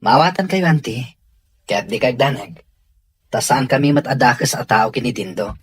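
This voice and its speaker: A trustworthy young shop helper in a Filipino radio drama. He is friendly and reassuring, with a Filipino accent. The delivery is very animated and a little husky. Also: medium pitch, moderate pace, no room sound.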